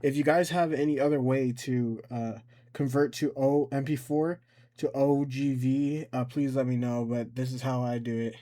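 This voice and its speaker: A man presenting an informal step-by-step software tutorial, rambling a little and thinking out loud as he goes. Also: medium pitch, steady tone, moderate pace. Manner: informal, rambling, thinks aloud and talks to himself. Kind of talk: casual screen-recorded software tutorial.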